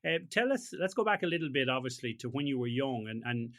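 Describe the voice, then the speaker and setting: Bright-voiced male interviewer, dry sound. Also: mid-range voice, big pitch swings, quick pace, dry recording. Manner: conversational, asks leading questions with tag questions. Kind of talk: casual interview chat.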